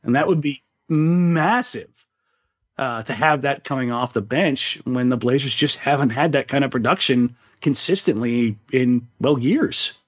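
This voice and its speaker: A man's voice: mid-range voice, measured pace. A man co-hosting a sports podcast, talking casually and giving his opinions in long, thinking-aloud explanations. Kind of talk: casual sports talk and analysis. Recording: slightly dull, lo-fi sound.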